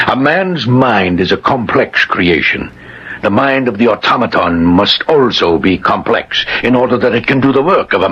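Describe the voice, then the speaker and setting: A man's voice: lowish voice, a bit bright, slightly rough. An actor playing a proud, obsessive older scientist and father, declaiming grandly in a 1950s radio drama. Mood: earnest. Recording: muffled, noisy 1950s radio recording.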